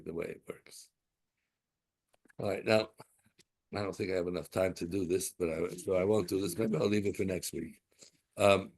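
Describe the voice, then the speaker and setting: A man's voice: sits low, gravelly, husky. A man giving an informal Torah class, asking rhetorical questions and mixing in Hebrew terms as he drifts into side stories. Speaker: Torah teacher.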